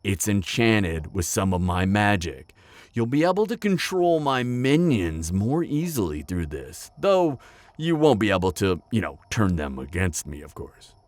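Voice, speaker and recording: A man playing a chatty, pragmatic necromancer in an audio roleplay, talking casually and a little haltingly straight to the listener. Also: fairly low pitch, sing-song, dry recording. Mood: businesslike, eager. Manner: casual, hesitant asides, frequent chuckling. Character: chatty, upbeat, pragmatic male necromancer, former tailor. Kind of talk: conversational roleplay addressed to the listener.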